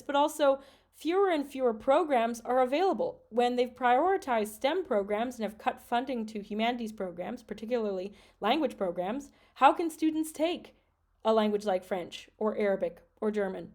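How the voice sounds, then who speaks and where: Earnest woman podcast host, higher voice. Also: expressive, normal speed, darkish tone. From Michigan. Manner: earnest, concerned, reads out statistics. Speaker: podcast host and French teacher. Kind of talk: solo podcast commentary.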